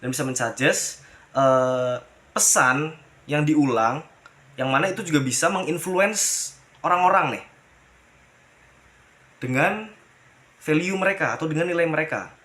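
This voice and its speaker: A man's voice: a little high, a little slow, long pauses, sharp tone. A young man from Java, giving a casual class presentation, chatty, calling the viewers "guys" and mixing in English terms. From Pemalang, Central Java, Indonesia. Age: young adult. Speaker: university student presenter.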